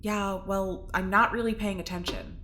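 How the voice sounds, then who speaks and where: Sharp, sullen young woman prisoner played by an actress. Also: mid-range voice, steady tone, moderate pace, a little hiss or hum, natural voice. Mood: grumbling. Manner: snarky, blunt, impatient. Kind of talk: audio drama acting.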